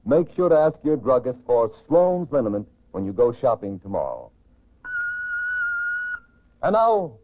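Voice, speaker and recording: Male commercial announcer, medium pitch, folksy persuasive sell, dry, phone-like vintage radio recording. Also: dry recording. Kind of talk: 1940s radio ad read. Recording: narrow, tinny 1940s radio recording.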